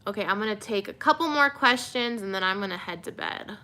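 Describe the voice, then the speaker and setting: A young woman TV reporter, relaxed and soothing, answering viewer questions in a casual livestream with many fillers. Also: mid-range voice, fairly quick. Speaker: TV news reporter.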